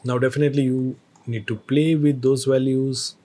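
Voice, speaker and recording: A man teaching a screen-recorded software tutorial, explaining each step with hesitant phrasing and fillers. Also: mid-range voice, level tone, moderate pace.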